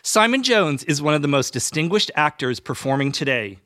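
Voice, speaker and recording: A man's voice: a little high, sing-song, normal speed, sharp tone, dry recording. A man hosting a podcast, speaking in an effusive, formal way with theatrical phrasing. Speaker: podcast host. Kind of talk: warm-up narration and interview. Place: club library for the talk.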